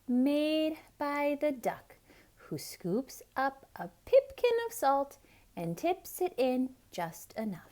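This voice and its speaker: A woman reading a picture book aloud to children in an expressive, theatrical way. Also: on the high side. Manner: expressive, dramatic, explaining things to children. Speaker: children's storyteller.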